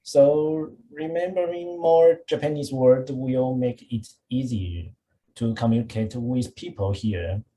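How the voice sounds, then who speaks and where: A panelist giving measured advice, with frequent "uh" pauses. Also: medium pitch, dark tone, rough recording. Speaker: startup visa panelist.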